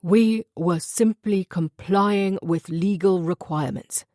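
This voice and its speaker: A woman's voice: lowish voice, slow, warm tone, dry recording, studio quality. A woman voicing a pompous school official. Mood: formal. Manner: pompous, formal, evasive. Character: smooth evasive older male school official.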